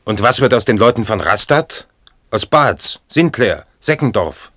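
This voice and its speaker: An actor playing a sober, probing questioner and commentator in a radio play, measured and inquiring. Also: male voice, on the low side, very animated, fairly bright tone, heavily acted.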